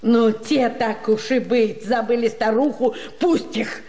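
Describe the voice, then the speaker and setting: An actress playing an imperious, plaintive old gentlewoman in a radio play, sighing, grumbling and repeating words in a folksy way. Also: a little high, steady tone, a little slow, close to an everyday voice. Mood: wounded, indignant. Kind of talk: theatrical radio play of a classic short story.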